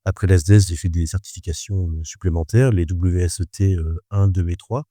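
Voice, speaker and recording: A man in his thirties with a low, very mellow voice talks about wine in a casual podcast. He speaks in a measured, hesitant way and pauses often on fillers. The sound is close and dry. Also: fairly even intonation, no room sound. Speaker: wine instructor.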